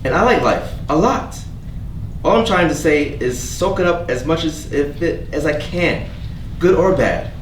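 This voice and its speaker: A man acting a gentle earnest stranger, echoey, distant. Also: on the high side, animated, measured pace. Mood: earnest, reassuring. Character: gentle, earnest, hopeful stranger named Avery, quietly wounded. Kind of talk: staged play reading. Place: theatre staged reading, recorded from a distance. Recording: echoey room, distant mic, background noise.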